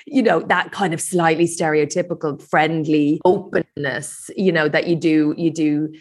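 A woman's voice: sits low, steady tone, moderate pace. An Irish woman guest in casual chat, talking freely with frequent restarts and laughs. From Ireland.

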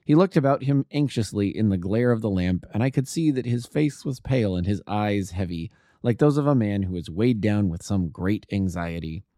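A man reading literary fiction aloud as an audiobook narrator, in an expressive, deliberate storytelling style. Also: lowish voice, no echo, studio quality.

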